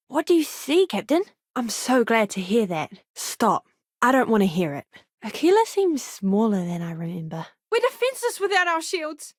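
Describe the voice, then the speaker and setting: A woman's voice: a little high, no echo, clean studio sound. A woman voicing an eager, curious young botanist and doctor in a spaceship crew for a video game, with an earnest, polite manner. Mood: friendly, curious, then urgent battle shouts.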